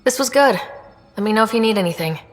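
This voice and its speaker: A woman's voice: fairly high pitch, lively intonation, fast talker, lightly acted. A woman playing a friendly, frank young spaceship leader in a video game, with a direct, easygoing way of talking. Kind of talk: video game dialogue acting. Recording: reverberant room with music behind.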